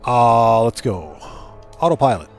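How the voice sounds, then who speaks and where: A man's voice: medium pitch, animated, unhurried, soft background music. A man hosting a livestream, chattily thinking aloud as he gives casual video game commentary and talks to viewers. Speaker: livestream host.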